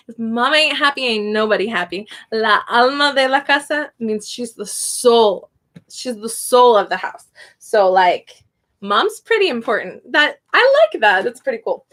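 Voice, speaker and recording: A woman's voice: sits high, fairly bright tone. A young woman teaching a casual spoken lesson, chatty and prone to tangents, laughing often. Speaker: Spanish teacher.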